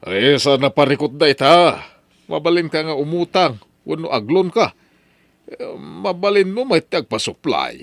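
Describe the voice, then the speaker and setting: A man playing a friendly older village uncle who gives advice in a lively, coaxing way. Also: a little high, moderate pace, no room sound. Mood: advising. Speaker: uncle figure. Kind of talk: radio drama acting.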